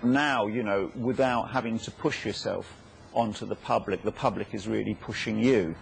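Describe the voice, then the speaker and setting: Low, creaky-voiced man in interview. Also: fairly even intonation, moderate pace, a little hiss or hum. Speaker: interviewee, star-ranking expert. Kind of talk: interview commentary.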